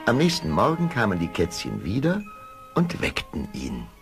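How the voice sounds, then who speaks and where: A man's voice: fairly low pitch, huge pitch swings, moderate pace, music in the background. A man narrating a fairy tale as the storyteller of a scripted radio play. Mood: storytelling.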